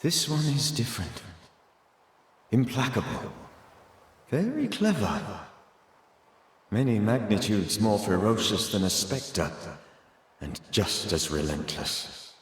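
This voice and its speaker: A man's voice: on the low side, sing-song, slow, pauses often. A man playing a grave, sorrowful ghost of a banisher mentor in a video game cutscene, speaking with solemn, old-fashioned formality. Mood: grave, urgent. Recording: reverberant ghostly echo, music behind.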